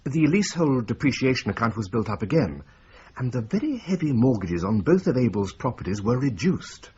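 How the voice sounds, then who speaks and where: An actor playing a precise, wry accountant who explains figures step by step in a radio drama. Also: male voice, medium pitch, very animated, a little fast, mellow, lightly acted. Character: dry precise male accountant. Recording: dull lo-fi audio with faint hiss.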